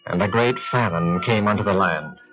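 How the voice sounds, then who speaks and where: A man's voice: sits low, normal speed. A man narrating a scripted biblical drama in a solemn storytelling manner. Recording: muffled sound with music underneath. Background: music.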